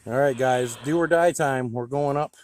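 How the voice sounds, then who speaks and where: A man's voice: mid-range voice, moderate pace. An American man narrating a hobby vlog in a casual, plain-spoken, informal way. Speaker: radio hobbyist vlogger.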